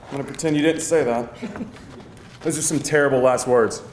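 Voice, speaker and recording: A man's voice: mid-range voice, steady tone, brisk, a little mellow, close to an everyday voice. A man playing an irritable, sardonic vampire with an exasperated, sarcastic manner in a live screenplay table read. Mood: dry, annoyed. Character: irritable, sardonic male vampire with an office job. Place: indoor live reading before an audience. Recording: roomy live venue, faint noise.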